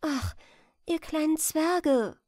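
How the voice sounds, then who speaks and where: A woman voicing a sweet, forlorn young woman in a fairy tale, speaking gently and plaintively. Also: high-pitched, extremely slow, dry recording, clean studio sound, voice pushed up. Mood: plaintive, helpless.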